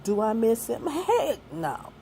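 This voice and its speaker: A woman's voice: on the high side, very animated, slow pace, gravelly, dark tone, a little hiss or hum. A woman in her sixties, a single mother and grandmother, giving young people earnest, folksy life advice that mixes faith with plain street talk.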